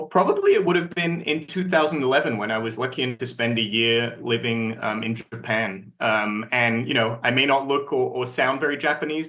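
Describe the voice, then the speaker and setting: Guy in his 30s on a panel, bright voice, eager personal story. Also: mid-range voice. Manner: earnest, enthusiastic storytelling. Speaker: panelist, bathhouse company leader. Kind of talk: panel discussion answer.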